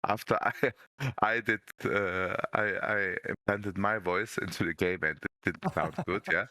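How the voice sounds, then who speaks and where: Low, bright-voiced man with halting speech. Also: steady tone, dry recording, clean studio sound. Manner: halting, word-repeating speech. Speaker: game translation team member. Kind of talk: casual chat.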